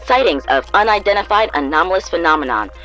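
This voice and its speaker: A man's voice: on the high side, expressive, fast talker, bright, forceful tone, soft background music, poor audio. A man playing a polished, theatrical TV news anchor, reading scripted newscast stories in an audio drama. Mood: wry, dramatic.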